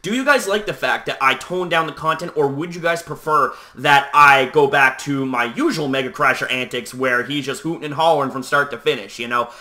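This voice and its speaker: A young man hosting a video channel, talking casually to the camera at a deliberately lowered volume, loose and chatty with lots of "you know". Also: bright, forceful tone. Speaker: YouTube host.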